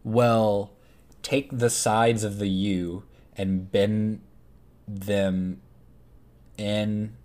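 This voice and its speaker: A man's voice: on the low side, level tone, very slow, pauses often, darkish tone. A young man from Texas with a slight Texas twang, a podcast co-host in rambling casual chat. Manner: chatty, rambling, looks things up mid-talk.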